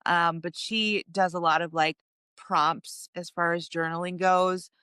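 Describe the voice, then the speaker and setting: A woman's voice: on the low side, flat delivery, a little slow, bright, forceful tone, clean tone, no room sound, clean studio sound. A woman talking as a podcast guest in a chatty way, full of "um" and "like". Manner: chatty, lots of "um" and "like". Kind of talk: casual podcast conversation.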